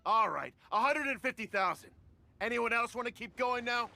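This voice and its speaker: A bold, competitive female bidder in a video game. Her voice is bright and forceful, with a pushy edge. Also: on the high side, expressive, moderate pace, creaky, dry recording, heavily acted.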